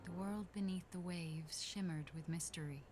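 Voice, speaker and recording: A woman's voice: fairly low pitch, moderate pace, a little mellow, a little hiss or hum, lightly acted. A woman with an Irish accent playing the storytelling narrator of an undersea fairy tale in a video game. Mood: storytelling wonder. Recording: music behind the voice. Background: game music.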